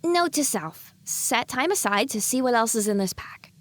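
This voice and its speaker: A high-voiced young filly , played by a woman, wry and grumbling, in an audio drama. Her pitch moves in lively swings and she sounds self-conscious and a bit sulky. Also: moderate pace, dry recording, close to an everyday voice.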